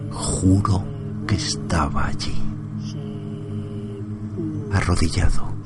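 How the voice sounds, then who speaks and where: A low-voiced man tells a first-person horror tale with slow, suspenseful phrasing. His delivery is dramatic and often drops to a hush. Loud music plays under him throughout. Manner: suspenseful, drawn-out phrasing, often hushed and breathy.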